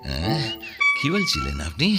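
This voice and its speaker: An actor playing a sardonic, bitter figure in a radio drama, talking dryly with wry laughter. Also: male voice, a bass voice, wildly swooping pitch, moderate pace, exaggerated voice. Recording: radio play with faint music.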